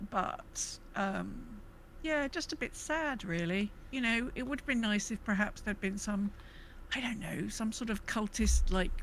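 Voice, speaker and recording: A woman's voice: medium pitch, fairly bright tone, a little hiss or hum, poor audio. A woman co-hosting a podcast in casual gaming chat, thinking aloud in a hesitant, rambling way. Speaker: podcast co-host.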